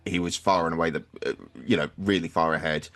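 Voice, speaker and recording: A man's voice: low voice, fairly even intonation, quick pace. A man hosting a casual sports podcast, talking through picks in a conversational way. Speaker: podcast host.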